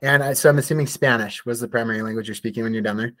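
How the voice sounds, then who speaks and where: A man's voice: medium pitch, fairly even intonation, rapid-fire, a bit bright, dry recording. Upbeat male podcast host. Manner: upbeat, encouraging. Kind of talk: friendly interview questions.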